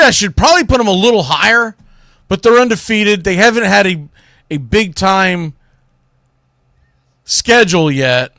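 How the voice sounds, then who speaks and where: Male solo sports commentator, somewhat high-voiced, opinionated and informal, close dry sound. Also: pushed and bright, dry recording. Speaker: sports talk show host.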